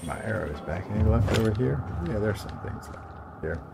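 A man giving casual, low-key gameplay commentary, musing to himself as he plays. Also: animated, moderate pace, vocal fry, over loud music. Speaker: gamer narrating a playthrough. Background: video game ambience.

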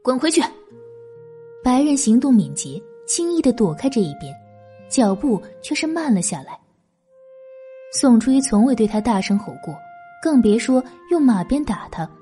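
A woman's voice: fairly high pitch, big pitch swings, moderate pace, mellow, faint music behind. A woman reading scripted dramatic narration of a historical novel as an audiobook narrator.

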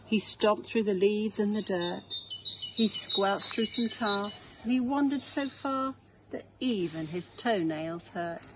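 A middle-aged woman with an English accent reads a children's storybook aloud in a measured, clearly phrased way. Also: medium pitch. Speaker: head teacher reading a picture book. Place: outdoors in a small garden. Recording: outdoor background noise.

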